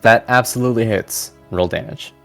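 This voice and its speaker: A man's voice: mid-range voice, normal speed, creaky, a bit bright, faint music behind. A man narrating a tabletop roleplaying game as game master, in a casual, plain-spoken way.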